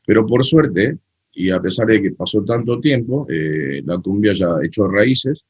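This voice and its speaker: Frank Argentine man, husky low voice. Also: unhurried, no echo, highs cut off. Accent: Argentine Spanish. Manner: animated storyteller, frank and opinionated. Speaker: guest, cumbia festival creator. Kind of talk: casual interview chat.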